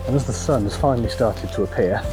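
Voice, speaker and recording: A lower-voiced man, croaky from a cold, chatting in a relaxed, halting way as he walks through a forest. Plenty of ums between his thoughts, and loud music plays under his voice. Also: low-quality recording. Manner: relaxed, hesitant, many ums. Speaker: photography vlogger. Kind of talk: casual walk-and-talk narration. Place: outdoors in a forest.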